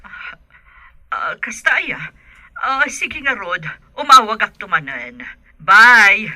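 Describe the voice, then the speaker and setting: A woman's voice: fairly high pitch, very animated, slow, pushed and bright, no echo, heavily acted, rough recording. A woman acting a nosy, knowing lady of authority in an Ilocano radio comedy drama.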